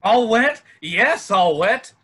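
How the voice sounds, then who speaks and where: A man acting as a bitter young painter. His high, sharp voice swings widely as he talks with wounded sarcasm in stage drama style. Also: animated, slow pace, slightly rough, full character voice. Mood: bitter, dejected. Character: Jim, bitter, dejected young male painter. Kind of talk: virtual stage play table read.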